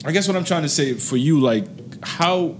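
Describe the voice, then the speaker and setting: A man's voice: on the high side, lively intonation, moderate pace, soft background music. A man hosting a casual interview, joking and rambling with lots of restarts. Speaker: podcast host.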